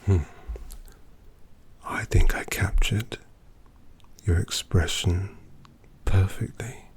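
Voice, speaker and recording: A man's voice: low-pitched, steady tone, slightly rough, heavily acted. A man voicing an unhinged, seductive male vampire artist in a whispered ASMR roleplay. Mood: seductive, teasing. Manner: soft, intimate, slow and teasing.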